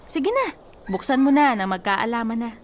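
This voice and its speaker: Bossy, high-voiced blunt cousin. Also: female voice, big pitch swings, moderate pace, a little hiss or hum. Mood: scolding. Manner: teasing, scolding, sharp-tongued. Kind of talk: radio drama acting.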